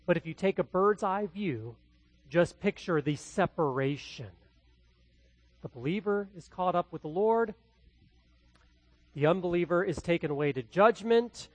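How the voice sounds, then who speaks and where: A man from the Midwest with a Midwestern accent, preaching a verse-by-verse sermon as pastor, swinging between loud and quietly hushed delivery. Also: high voice, lively intonation, a little slow, pauses often, no echo, low-quality recording. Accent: Midwestern American. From American Midwest. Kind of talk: expository sermon.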